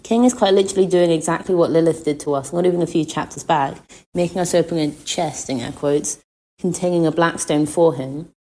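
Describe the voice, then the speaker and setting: A woman's voice: low voice, fairly quick, mellow, rough recording. A woman leaving a casual listener voice message, arguing her opinion in a playful way.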